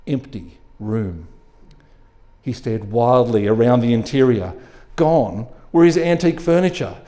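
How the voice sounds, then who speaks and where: Mellow man reading a short story. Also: a little high. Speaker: story reader. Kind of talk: expressive live short-story narration.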